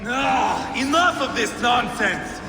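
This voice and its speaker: An actor playing a brutal, hot-tempered Viking warrior in a video game, sneering, foul-mouthed and menacing. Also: male voice, extremely high pitch, lively intonation, slow, gravelly, full character voice. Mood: impatient, bloodthirsty. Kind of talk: dramatic video game acting. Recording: long hall reverb, music underneath.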